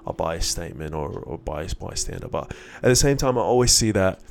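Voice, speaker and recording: A man's voice: sits low, expressive, a little fast, vocal fry, a little hiss or hum. A young man from Iraq who moved to Australia as a child, talking casually and candidly in a reflective personal monologue with slang and swearing. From born in Iraq, moved to Australia as a child. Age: young adult.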